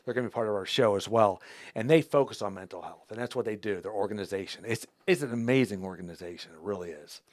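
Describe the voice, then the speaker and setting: A man's voice: dry recording, clean studio sound. A man hosting an interview show, talking casually, restarting often and repeating words. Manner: halting, repeats words as he restarts, lively rises in pitch. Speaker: host.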